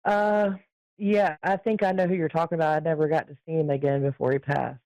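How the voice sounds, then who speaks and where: A woman's voice: low voice, fairly even intonation, brisk, darkish tone, no room sound, low-quality recording. A woman from Florida calling in, giving brief answers. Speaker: caller.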